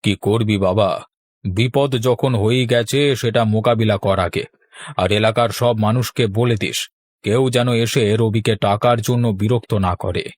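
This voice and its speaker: A man's voice: on the low side, steady tone, moderate pace, no room sound, natural voice. A man voicing an aggrieved, demanding village man in a cartoon. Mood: placating. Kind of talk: Bengali cartoon voice acting.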